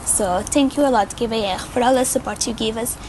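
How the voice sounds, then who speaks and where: A young woman in her early twenties gives a testimonial in a Portuguese accent, as a native Portuguese speaker. Her voice is a little high, with faint ambient noise behind it. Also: fairly quick. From Lisbon, Portugal. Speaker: network marketer giving a testimonial. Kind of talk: prepared video testimonial.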